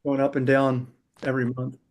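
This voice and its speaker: A man's voice: mid-range voice, monotone, fairly quick, slight background noise. A man hosting a casual business call, asking questions and offering ideas in conversational talk.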